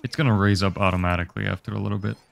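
A man's voice: on the low side, rapid-fire, gravelly. A young man giving a casual, easygoing walkthrough of a video game level, explaining each step. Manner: relaxed instructive, easygoing. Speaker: game guide host.

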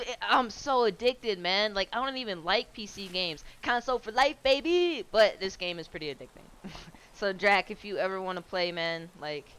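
A woman in her twenties with a low voice, streaming a game from home on a headset, chatting loosely with viewers, swearing and laughing. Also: fairly bright tone, clean tone. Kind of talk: casual chat while gaming.

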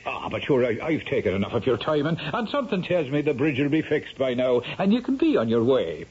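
An actor playing a sly, garrulous Irish storyteller in a radio drama, blustering and stammering with an Irish accent. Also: male voice, mid-range voice, very animated, a little fast, a little mellow, natural voice. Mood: sly, storytelling. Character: sly, garrulous Irish storyteller and anxious old rogue father. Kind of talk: 1970s radio drama acting. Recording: dull, noisy 1970s radio broadcast.

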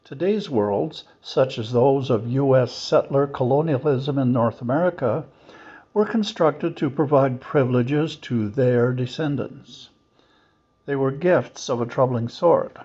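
A man's voice: mid-range voice, unhurried, mellow, breathy edge, muffled recording. A man reading quoted passages from a script in a church service.

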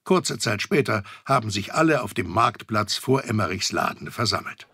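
An actor narrating a children's audio drama as a genial storyteller. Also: male voice, lowish voice, animated, moderate pace, creaky, bright, forceful tone, breathy edge, no room sound, exaggerated voice. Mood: storytelling. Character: genial adult male storyteller.

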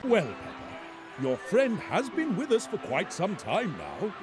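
An actor playing a jolly, bumbling daddy pig in a children's cartoon game, hearty and jovial. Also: male voice, high voice, huge pitch swings, slow pace, full character voice. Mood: cheerful. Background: music.

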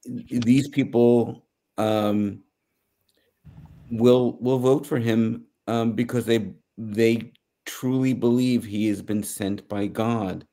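A middle-aged American man giving news commentary on a video call, halting and searching for words. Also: mid-range voice, steady tone, mellow. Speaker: panelist. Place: small home office, indoors.

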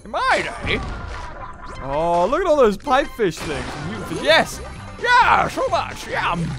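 Excitable gamer with lively pitch. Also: male voice, takes it slowly, music in the background. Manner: excitable, shouts and laughs at play. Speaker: gaming youtuber. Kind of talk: excited gameplay commentary.

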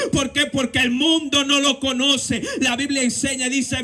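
A man's voice: very high voice, a bit bright. A young man with a Dominican Spanish accent, preaching a fervent sermon outdoors at night into a handheld microphone, asking and answering his own questions. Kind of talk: street sermon. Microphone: handheld mic at a lectern.

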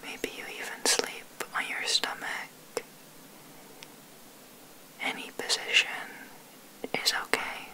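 A woman guiding an ASMR sleep meditation in a breathy whisper, soothing and gentle, unhurried with long pauses. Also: rough recording. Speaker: meditation guide, ASMR host.

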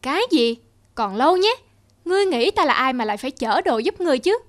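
A woman's voice: high voice, sing-song, fast. A woman voicing a haughty, scornful horse in a cartoon, talking in a pompous, scolding way. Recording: music under the voice.

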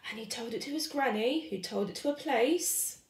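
A woman reading a children's picture book aloud with expressive, deliberate, rhythmic narration. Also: on the high side. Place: indoor room, book on wooden surface. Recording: roomy indoor echo.

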